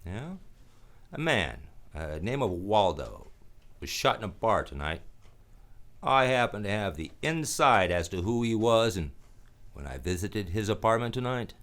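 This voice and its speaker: A man's voice: on the low side, very animated, measured pace, a bit bright, heavily acted. A man playing a cynical, wisecracking hard-boiled private detective in a noir radio play, wry and slangy. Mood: sardonic negotiating.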